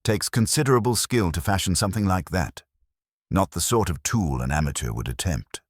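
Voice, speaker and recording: A man's voice: sits low, expressive, brisk, no echo, clean studio sound, voice pushed up. A man voicing a proud, self-satisfied mechanical craftsman in a mystery audiobook, matter-of-fact about his expertise.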